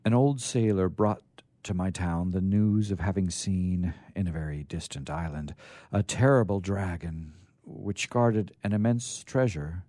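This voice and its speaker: A man's voice: low-pitched, unhurried, dark tone, no room sound. A man hosting a radio show, chatting casually and reading old stories aloud.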